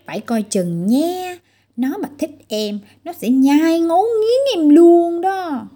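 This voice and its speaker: A woman voicing a disdainful elder sister in a fairy tale, taunting with drawn-out warnings. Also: extremely high pitch, expressive, warm tone, pitched above the performer's own voice, full character voice. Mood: mocking.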